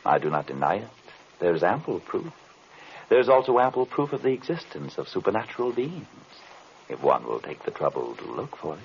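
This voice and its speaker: Brooding, theatrical occult investigator, old radio drama. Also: male voice, low-pitched, big pitch swings. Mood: insistent. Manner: formal, deliberate, theatrical, rhetorical questions. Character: enigmatic learned male occult investigator, courteous and brooding. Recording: muffled, lightly noisy vintage radio recording.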